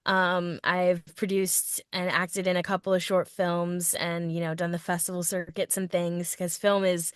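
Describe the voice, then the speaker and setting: A woman's voice: on the low side, flat delivery, a little slow, hardly any pauses, clear, resonant tone, no room sound, clean studio sound. Chatty, enthusiastic young woman guest. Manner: enthusiastic, chatty, many "like" fillers. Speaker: guest voice actor and narrator. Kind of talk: casual podcast interview. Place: podcast recording.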